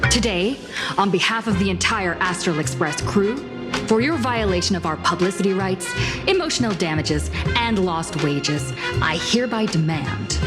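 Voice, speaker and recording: Game role: formal, demanding woman negotiator played by an actress, mid-range voice, deliberate and composed. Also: mid-range voice, natural voice. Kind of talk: dramatic game voice acting. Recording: studio voice under loud music.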